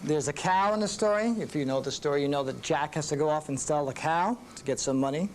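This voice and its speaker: A woman narrating a children's story in simple, deliberate phrases, with musical instruments behind her. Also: deep voice, a little hiss or hum.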